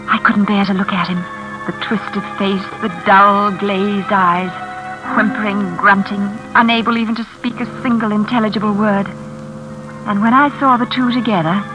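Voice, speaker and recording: An actress playing an anxious, polite young live-in companion who acts and narrates in a vintage radio thriller. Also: female voice, medium pitch, highs cut off, lightly acted. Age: young adult. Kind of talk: old-time radio drama acting and narration. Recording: vintage radio drama recording.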